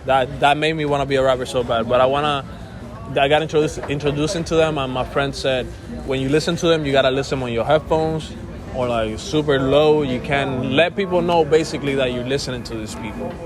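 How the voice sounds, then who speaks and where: A man's voice: medium pitch, brisk, a crowd in the background. A young man born and raised in Cuba, a musician talking casually as a guest, full of restarts and calling the host bro. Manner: casual, says bro a lot, rambling restarts. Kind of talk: casual interview chat.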